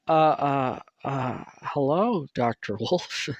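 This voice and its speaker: A man's voice: medium pitch, animated, slow pace, dull audio. A man acting as a nervous, friendly young asylum patient in an audio roleplay, talking in a hesitant, confiding way. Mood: nervous, placating.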